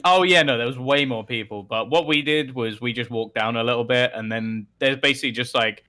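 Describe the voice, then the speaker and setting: Bright-voiced man chatting and laughing. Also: mid-range voice. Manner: laughing, quick asides. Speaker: guest, esports caster. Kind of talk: casual chat. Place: home room, indoors. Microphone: headset on video call.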